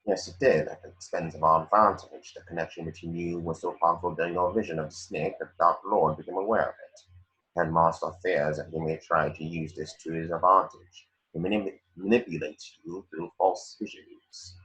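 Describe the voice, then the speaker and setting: A man's voice: low voice, fairly even intonation, fairly quick, a bit bright, rough recording. A man playing a sneering, cold wizard professor in a script read, speaking in formal, curt, condescending phrases. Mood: stern lecturing.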